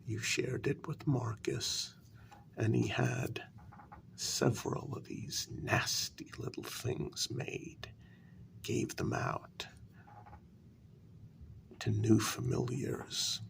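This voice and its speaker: A man playing a wounded, bitter vampire in a soft-spoken ASMR role-play, speaking in hushed, deliberate half-whispers with a gravelly voice. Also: medium pitch, animated, slight background noise, full character voice. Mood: hurt, accusing. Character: wounded, bitter male vampire confronting his queen.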